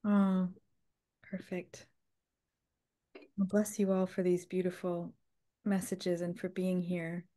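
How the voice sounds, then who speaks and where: A woman's voice: fairly low pitch, monotone, takes it slowly, long pauses, dark tone. A woman co-hosting a live video call in casual chat, speaking hesitantly in trailing phrases. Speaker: writer co-hosting a live online roundtable.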